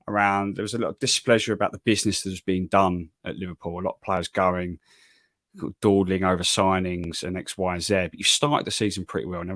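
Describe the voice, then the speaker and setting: English guy hosting a footy pod, real low voice, steady and a bit self-deprecating, dry close sound. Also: dry recording. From England. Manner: calm, even, self-deprecating. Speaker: podcast host. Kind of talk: solo podcast monologue and interview.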